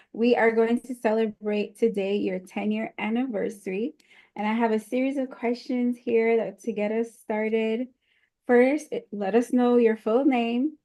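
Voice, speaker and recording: A woman hosts a live interview on a stream, laid-back and laughing along with her guests. She talks slowly, her voice sitting a little high for a woman. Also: a little mellow. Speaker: host.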